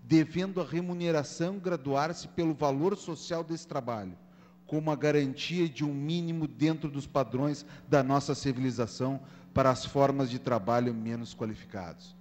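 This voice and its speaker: A middle-aged man delivers a measured, formal political speech, partly read. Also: mid-range voice, darkish tone. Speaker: city councillor. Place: large council chamber, indoors. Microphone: gooseneck podium microphone. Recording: echoey hall, mains hum and noise.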